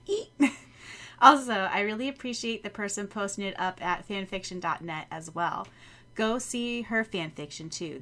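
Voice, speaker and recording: A woman on a podcast reading written notes aloud and a scripted outro in a playful, expressive way. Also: medium pitch. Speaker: podcast co-host.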